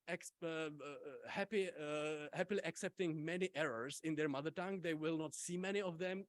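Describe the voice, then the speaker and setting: A man whose first language is Czech gives an academic conference talk, halting often with fillers and restarts. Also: on the high side, no echo. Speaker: invited conference speaker.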